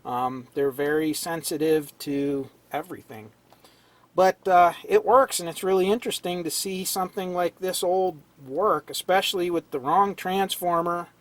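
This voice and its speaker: A man with a hoarse, fading voice, casually explaining an old radio repair at an electronics workbench, clearing his throat now and then. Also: high-pitched, normal speed. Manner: relaxed, talks while tinkering, frequent throat clearing. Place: cluttered electronics workbench indoors.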